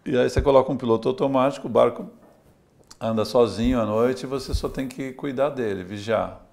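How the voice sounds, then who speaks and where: A man's voice: medium pitch, fairly quick, creaky, a little mellow. A man from Santos, Brazil, a sailor talking in an interview in a reflective, storytelling way. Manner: reflective, tells stories with small laughs and "né?". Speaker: sailor and adventurer. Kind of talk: relaxed interview talk.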